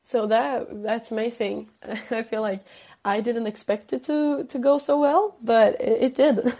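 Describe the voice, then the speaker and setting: A woman's voice: a little high, fairly even intonation, measured pace, warm tone. Tired Swedish-accented young woman, hesitant. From Sweden. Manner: hesitant, many fillers, frequent laughs. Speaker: pop singer guest. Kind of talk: casual call-in interview. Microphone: phone call-in.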